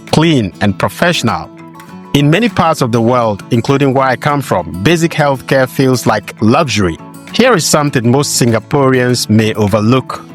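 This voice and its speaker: Man narrating a travel video. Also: mid-range voice. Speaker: host, narrator. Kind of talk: scripted narration.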